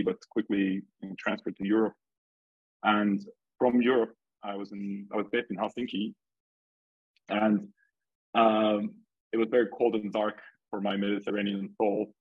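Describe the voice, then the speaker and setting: Low, husky man from Turkey, echoey audio. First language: Turkish. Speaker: podcast guest, startup investor and entrepreneur. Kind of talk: casual conversational storytelling. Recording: echoey, dull lo-fi call audio.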